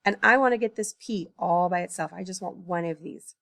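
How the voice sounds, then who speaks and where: A woman with a gravelly, creaky edge to her voice talks through a math lesson, working each problem aloud. She stops to correct her own slips and sounds tired, early in the morning. The recording is dry and close, with no room sound. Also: lowish voice, a little fast, a bit bright, dry recording. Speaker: math teacher.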